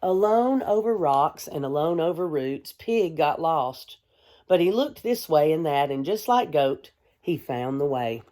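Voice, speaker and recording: A woman, a kindergarten teacher, reading a storybook aloud to young children in a gentle, expressive way. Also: deep voice. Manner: gentle, hushed, expressive storytelling with deliberate pauses; addresses young listeners with simple questions.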